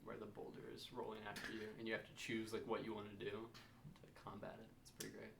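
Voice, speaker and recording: Man, mid-pitched, heavy vocal fry, understated podcast co-host, casual explaining, roomy echo. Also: monotone, quick pace. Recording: roomy, echoey, faintly noisy home recording.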